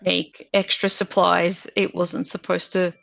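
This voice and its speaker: A woman in a tabletop game talks defensively, stringing her points together with fillers. Her voice is full of heavy vocal fry, and the audio is dull and lo-fi. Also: fairly low pitch, little pitch movement, unhurried, a bit bright, soft background music. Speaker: player. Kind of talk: casual tabletop roleplay.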